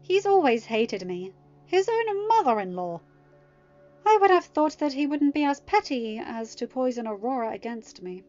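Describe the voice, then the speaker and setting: A woman's voice: high voice, big pitch swings, moderate pace, a little mellow, muffled recording, voice pushed up. A woman voicing a haughty, snobbish grandmother queen who speaks with disdain. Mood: indignant. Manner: disdainful, scoffing.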